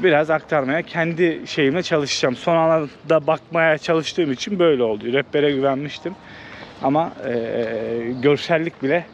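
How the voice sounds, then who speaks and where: A man hosting a casual, unscripted travel vlog, thinking aloud in an informal, conversational way. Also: fairly high pitch. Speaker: travel vlogger and host. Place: outdoors, walking through an old walled town. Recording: outdoor city ambience, background noise.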